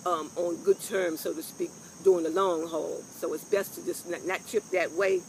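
An older woman with a loud voice, giving frank, rambling workplace advice to a phone camera outdoors, with salty asides. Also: lowish voice, fairly even intonation, moderate pace, slight background noise. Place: outdoors on a lawn by trees. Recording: faint insects and crickets outdoors.

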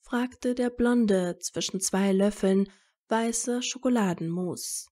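A woman's voice: mid-range voice, slow pace, mellow. A woman narrating a scripted story as an audiobook reader.

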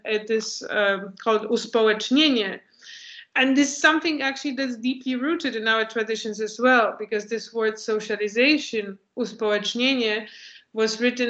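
A woman's voice: fairly high pitch, fairly bright tone. A woman, giving a thoughtful lecture answer on a video call and often restarting her phrases. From Poland. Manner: thoughtful, restarts phrases while searching for words. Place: home room with bookshelves. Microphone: laptop mic on video call. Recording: echoey, dull lo-fi video call.

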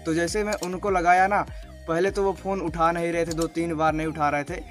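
A man's voice: a little high, flat delivery, brisk, a bit bright. A young Indian man in his late teens talking casually to camera as a video host. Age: young adult, late teens. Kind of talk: casual vlog talk to camera. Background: music.

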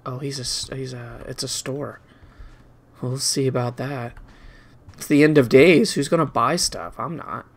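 A man's voice: mid-range voice, steady tone, measured pace, vocal fry. A man giving casual video game commentary, rambling and muttering playfully to himself as he plays.

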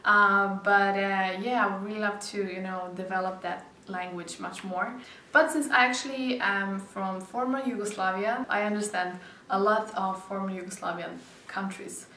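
Young Serbian woman in her twenties, English non-native, bright and bubbly, talks to camera from a sofa in a roomy living room. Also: medium pitch, steady tone, moderate pace, a little hiss or hum. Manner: chatty, laughing, many likes and ums. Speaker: beauty vlogger. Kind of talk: casual talk to camera. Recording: roomy echo, slightly distant mic.

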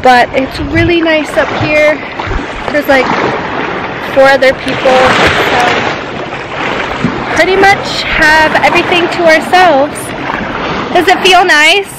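A woman's voice: sits high, steady tone, slow pace, long pauses, heavy background noise. A young woman in her twenties casually vlogging a road trip, chatty and full of "like" fillers, filming at arm's length. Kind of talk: casual travel vlog. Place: outdoors and in a car on a road trip. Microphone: handheld camera at arm's length.

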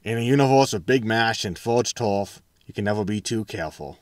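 A man's voice: on the low side, animated, fairly quick, no echo, studio quality, close to an everyday voice. A man playing a roguish, wry spaceship captain with a laconic manner in a sci-fi comedy audio drama. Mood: grumbling. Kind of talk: sitcom audio drama acting.

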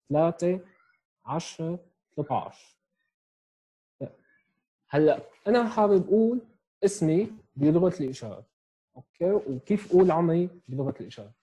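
Young male tutor, Syrian accent, mellow breathy tone, patient explainer recorded at home on a headset. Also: on the high side, rough recording. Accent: Syrian Arabic. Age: 20s. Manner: explains step by step, checks in with "okay?" often. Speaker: sign language teacher. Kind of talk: online lesson. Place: small room at home, indoors. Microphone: earphone headset mic on a video call.

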